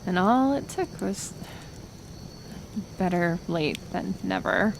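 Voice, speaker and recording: A woman playing a kind, stubborn healer of the woods in an intimate roleplay audio, speaking softly and hesitantly, with soothing pauses. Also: fairly low pitch, animated, vocal fry, noisy background, heavily acted. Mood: tender. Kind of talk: roleplay audio acting, intimate one-sided dialogue.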